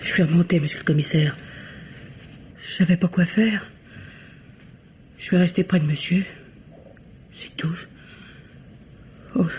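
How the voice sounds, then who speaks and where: An actress playing an anxious, deferential housemaid giving testimony in a radio mystery, hesitant and earnest. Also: female voice, fairly low pitch, fairly even intonation, measured pace, pauses often, a little mellow, lightly acted. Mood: flustered, deferential. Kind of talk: French radio mystery drama acting. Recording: dull, noisy old radio recording.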